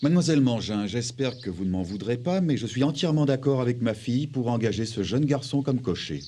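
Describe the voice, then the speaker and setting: A man voicing a loving gentleman father in a dubbed cartoon, formal and affectionate. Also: medium pitch, animated, brisk, slight background noise, lightly acted. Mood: courteous. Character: loving, courteous gentleman father of a little girl.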